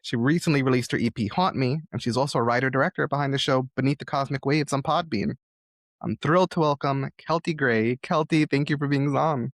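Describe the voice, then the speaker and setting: A man's voice: medium pitch, brisk, no room sound. A man hosting a podcast interview in a conversational, admiring and reflective way.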